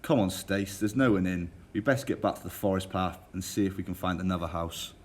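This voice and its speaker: A man's voice: low voice, steady tone, fairly quick, background noise, lightly acted. A man playing a nervous, suspicious young brother in an amateur radio drama. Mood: uneasy, reluctant.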